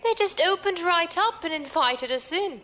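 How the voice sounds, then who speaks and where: A woman's voice: very high voice, lively intonation, normal speed, bright, forceful tone, slight background noise, exaggerated voice. An actress playing a curious, playful female code breaker and pirate sidekick in an audio drama, with a questioning manner. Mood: incredulous.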